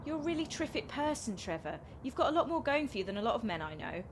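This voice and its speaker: A woman's voice: a little high, very animated, quick pace, noisy background. A British actress playing a flighty, good-hearted woman in a radio play, speaking frankly and reassuringly. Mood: kind, frank.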